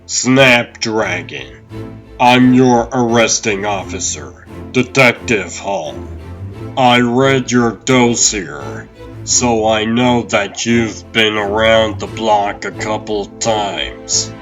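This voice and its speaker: A man playing a dogged, world-weary police detective who needles calmly in a comic dub. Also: on the low side, slow pace, muffled recording. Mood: calm, needling. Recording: music behind the voice.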